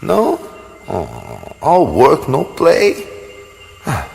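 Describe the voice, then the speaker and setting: An actor in a radio play playing a playful, teasing man with a gravelly, drawling voice. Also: medium pitch, wildly swooping pitch, very slow, darkish tone, long reverb tail, slight background noise, heavily acted. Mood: coaxing.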